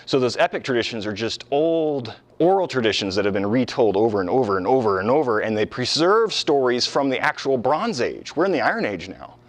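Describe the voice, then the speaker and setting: A man's voice: mid-range voice, sing-song, a little fast, hardly any pauses. A man giving an informal, conversational lecture on ancient history, explaining as he goes and stressing key words. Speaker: history lecturer, channel host.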